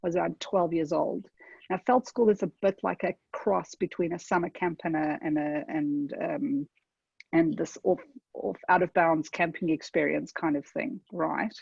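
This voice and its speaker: Gravelly South African woman presenting. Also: deep voice, fairly even intonation, normal speed, darkish tone, dry recording, dull audio. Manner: conversational, addresses audience directly with frequent "okay?". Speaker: webinar presenter. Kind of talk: webinar presentation.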